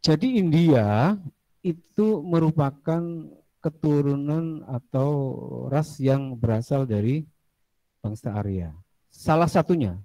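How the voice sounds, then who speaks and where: A man's voice: fairly high pitch, mellow, dry recording, poor audio. A man teaching a casual classroom lecture, chatty, asking students questions and drifting into asides.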